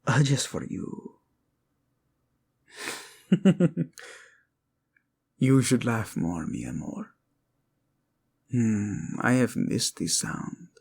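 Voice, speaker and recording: Man playing a slow-spoken romantic king, Spanish accent. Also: medium pitch, sing-song, vocal fry, darkish tone, husky, full character voice. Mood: playful, tender. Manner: tender, halting, dramatic, sprinkles in endearments. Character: protective young king, tender, lovesick, proud, playful romantic. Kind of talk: intimate romantic audio drama acting addressed to listener.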